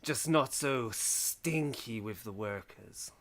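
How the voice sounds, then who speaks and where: Man playing a slow, laid-back adventurer. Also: medium pitch, a bit bright. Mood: languid, dry. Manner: unhurried, halting. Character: laid-back, wine-drinking, snuff-taking adventurer.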